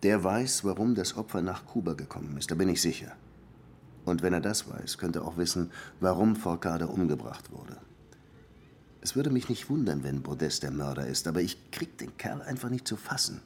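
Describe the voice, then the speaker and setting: An actor playing a blunt, sardonic police lieutenant in a radio drama, talking in a wry, deliberate way. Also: male voice, sits low, expressive, a little mellow, slight background noise. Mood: wry, musing.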